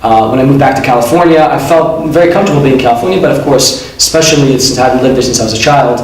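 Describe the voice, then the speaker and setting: A man who founded an admissions consultancy, answering interview questions in an earnest, reflective way with frequent "uh" and "um" pauses. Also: medium pitch. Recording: very echoey room, mic at a distance, faint ambience.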